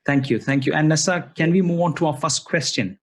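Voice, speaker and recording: A man's voice: fairly high pitch, fairly quick. Man, interviewer, polite, repeats thanks, everyday voice. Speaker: interviewer, host. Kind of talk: casual interview.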